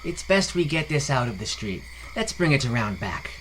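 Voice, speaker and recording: A man voices a friendly fantasy druid. He is calm, knowing and a bit folksy as he explains. Some noise is audible behind him. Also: medium pitch, normal speed, rough recording. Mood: calm, wry. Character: friendly, knowledgeable village druid, calm and wry.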